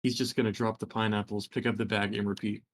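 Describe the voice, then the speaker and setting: Low-voiced man explaining step by step. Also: level tone, fast, no room sound, clean studio sound. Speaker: co-reader. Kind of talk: explaining a game trick in a reading.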